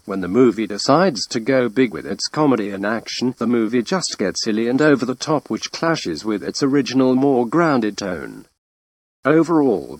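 A man narrating a scripted film review in an even, read-out delivery. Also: mid-range voice, no room sound.

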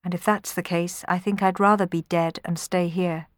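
A woman's voice: fairly low pitch, normal speed, dry recording, close to an everyday voice. A storyteller plays a young woman from Greek myth, wounded and devoted. She is plaintive and reproachful as a storybook heroine, recorded dry and close. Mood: faint, despairing.

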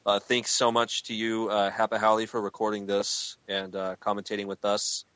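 A man with a lowish, bright voice hosts a casual podcast. He rambles freely with plenty of fillers, and his sound is dry and close. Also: completely flat delivery, normal speed, dry recording. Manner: loose, rambling, many fillers. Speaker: podcast host.

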